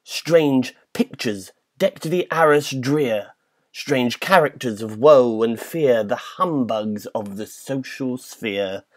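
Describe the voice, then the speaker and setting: A man giving a dramatic, measured recitation of a poem in a theatrical manner. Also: mid-range voice. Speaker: poetry reader.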